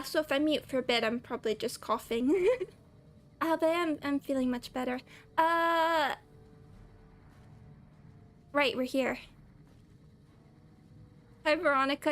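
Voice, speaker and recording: A young woman streaming a game and chatting casually with viewers, a little scratchy from a cold, often laughing. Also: high-pitched. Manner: chatty, giggly, greets viewers by name. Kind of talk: casual live-stream chat while gaming.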